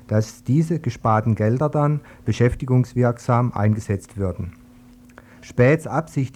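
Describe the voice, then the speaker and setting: A man reads a scripted radio news report at a steady, measured pace. His voice is mellow and warm, sitting in an ordinary middle range for a man. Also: medium pitch. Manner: steady, read-from-script delivery.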